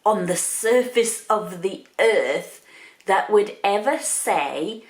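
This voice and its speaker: A woman's voice: medium pitch, lively intonation, slow, roomy sound. A woman, an English teacher chatting her way through a grammar point with examples. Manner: chatty, explains step by step with examples. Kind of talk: explaining grammar to learners.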